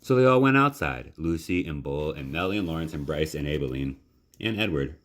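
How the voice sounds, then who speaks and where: A man reading a children's story aloud in a measured, dramatic, expressive narration. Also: very deep voice.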